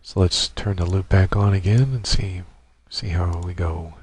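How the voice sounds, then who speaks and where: Low-voiced man giving a software tutorial. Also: slightly rough. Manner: informal, halting step-by-step explaining. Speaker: tutorial presenter. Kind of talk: casual software tutorial narration.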